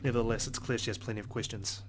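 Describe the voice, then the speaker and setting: A man hosting a let's play, reading visual novel narration aloud in a measured way with the odd stumble over words. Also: medium pitch, steady tone. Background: prominent game music with plucked guitar.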